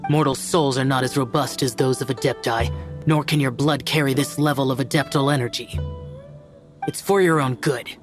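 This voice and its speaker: A man's voice: medium pitch, fairly even intonation, normal speed, over music, natural voice. Man playing a stern, aloof immortal guardian. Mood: cold warning. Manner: curt, cold, formal. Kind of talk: dramatic video game voice acting.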